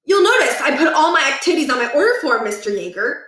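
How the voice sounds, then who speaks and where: A woman's voice: sits high, sing-song, quick pace, a bit bright, roomy sound, recorded from a distance, pitched above the performer's own voice. Woman doing a prim, pompous goody-goody schoolboy. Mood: officious, boastful. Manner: prim, chatty, self-important.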